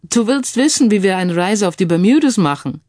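A woman voicing a calm male IT security expert who explains things in a mildly schoolmasterly way, mixing English phrases into the talk. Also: medium pitch, fairly quick, no room sound, studio quality, lightly acted. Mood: patient, explaining. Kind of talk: audiobook character dialogue.

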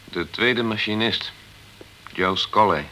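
An actor playing a dogged, straight-talking insurance investigator in a Dutch radio crime drama, direct and clipped. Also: male voice, fairly low pitch, slow pace, pushed and bright. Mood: weary, terse.

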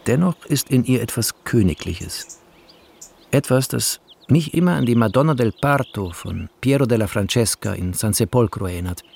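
A man reading screenplay directions in a measured, deliberate way as radio drama narration, with a husky, gravelly voice. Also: medium pitch, animated, darkish tone. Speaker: reader of film credits and screenplay directions.

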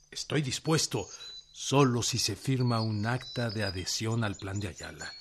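A stern revolutionary general in a radio play, laying down his terms firmly and plainly. His voice sits at an ordinary pitch, with a faint hiss of noise underneath. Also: a bit bright. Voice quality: firm, demanding. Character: revolutionary general, firm leader. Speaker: actor. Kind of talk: radio drama acting.

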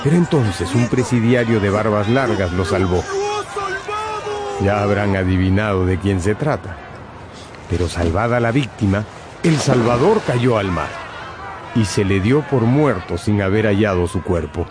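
A man's voice: on the low side, a little slow, very noisy. A man narrating a scripted radio drama as a dramatic storyteller. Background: background music.

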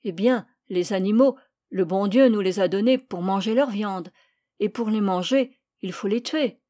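A woman's voice: medium pitch, a little slow, dark tone, lightly acted. A woman voicing a kind but stern father who answers his son in a firm, insistent way.

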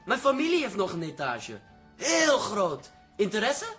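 Polite, high-voiced teenage boy. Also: huge pitch swings, a little slow, fairly bright tone, soft background music, exaggerated voice. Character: polite sixteen-year-old newspaper boy. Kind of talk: Dutch radio comedy drama acting.

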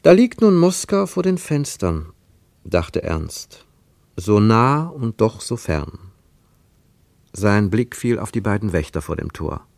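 A man narrating a literary radio play in a reflective, rhetorical manner with deliberate emphasis. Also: lowish voice, big pitch swings, creaky, darkish tone, no room sound, full character voice. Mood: pensive. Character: reflective literary narrator of a postwar travel story.

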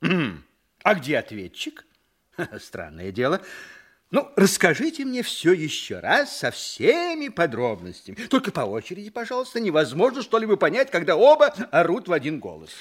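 A man's voice: on the high side, huge pitch swings. An actor playing a middle-aged, peace-loving, muddled town judge who ponders aloud as he tells his story in an audio drama. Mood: puzzled, conciliatory. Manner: musing, conciliatory, drawn-out pondering.

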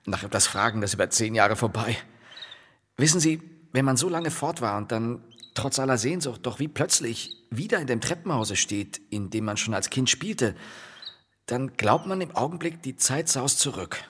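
An actor playing a polite, melancholy homecomer in a German radio play, courteous, hesitant and wistful. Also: male voice, medium pitch, very animated, normal speed, fairly bright tone. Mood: wistful, apologetic. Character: polite, melancholy, weary wanderer returning home. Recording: long reverb, faint noise.

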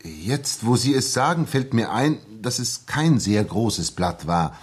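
A man's voice: medium pitch, sing-song, a little slow, slightly rough. A male actor playing an earnest, determined ex-soldier in a 1960s German radio drama, well-spoken and emphatic. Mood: earnest recounting.

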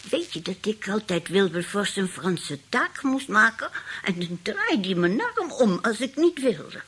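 An actress playing a feisty, scheming old great-aunt in a radio play, husky and indignant, grumbling and outspoken. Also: female voice, mid-range voice, very animated, measured pace, fairly bright tone, full character voice. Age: older.

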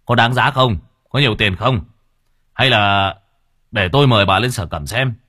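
A man's voice: lowish voice, moderate pace, sharp tone, dry recording, clean studio sound. A man playing a corrupt, bullying market warden who sneers and barks. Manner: sneering, barking, mocking.

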